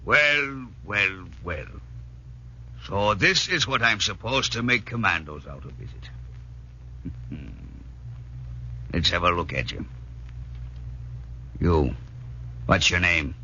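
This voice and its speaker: An actor playing a tough, sarcastic Irish commando sergeant major in a 1940s radio drama, with a rough, commanding voice. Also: male voice, deep voice, animated, slow, pauses often, bright, forceful tone, a little hiss or hum, heavily acted. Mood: scornful, sizing up. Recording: dull vintage 1940s radio recording.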